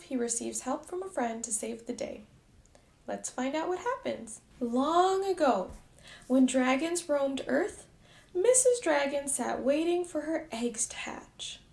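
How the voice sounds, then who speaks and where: A woman preschool teacher doing an expressive storytime read-aloud, speaking directly to young children. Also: sits high, creaky. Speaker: preschool teacher reading a picture book.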